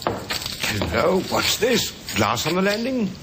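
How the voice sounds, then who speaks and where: A man's voice: high voice, huge pitch swings, slow pace, over loud music, exaggerated voice. A man playing a cautious, dryly practical middle-aged father in a 1950s radio drama. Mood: surprised. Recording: very noisy old radio recording.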